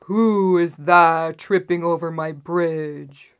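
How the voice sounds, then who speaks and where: Woman voicing a loud, roaring ugly troll. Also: lowish voice, clean tone. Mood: roaring, challenging. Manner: roaring, menacing, drawn-out. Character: great ugly hungry troll roaring under bridge.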